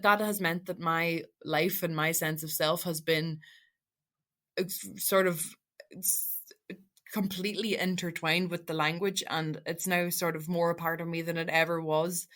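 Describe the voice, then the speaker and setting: A young woman from the Donegal Gaeltacht, a native Irish speaker with a Donegal Irish accent, giving earnest, halting interview answers full of restarts. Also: lowish voice, fairly even intonation, fairly bright tone. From Ireland, Donegal Gaeltacht. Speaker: guest.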